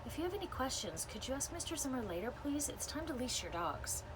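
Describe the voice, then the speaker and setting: A woman's voice: sits high, big pitch swings, fast, background noise, voice pushed up. A woman voicing a pushy, talkative female dog trainer who is eager to impress, wordy and insistent, in a storybook. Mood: firm, strained.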